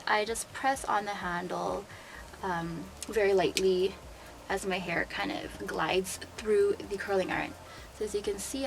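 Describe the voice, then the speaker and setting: A young woman with a sore, hoarse throat, chattily explaining step by step how she curls her hair. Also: mid-range voice. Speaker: beauty vlogger and hairstylist. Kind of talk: casual hair tutorial. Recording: noticeable background noise.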